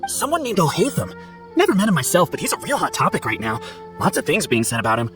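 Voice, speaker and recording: A gossipy scholar in a video game. He talks fast with lively pitch, and faint music sits behind him. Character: gossipy scholar passing on rumours.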